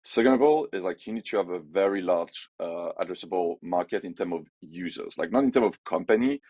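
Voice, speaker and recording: A man with a French accent and a low voice speaks in a dry, close recording. He gives firm opinions in a casual, rambling way, filling his sentences with "like" and "you know". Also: lively intonation, brisk, a little mellow, dry recording. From France. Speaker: podcast guest, head of growth. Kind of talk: casual business conversation.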